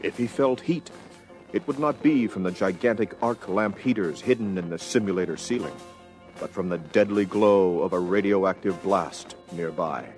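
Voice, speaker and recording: A man narrating an audio adventure story from a script in a measured, dramatic storytelling manner. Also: sits low, soft background music.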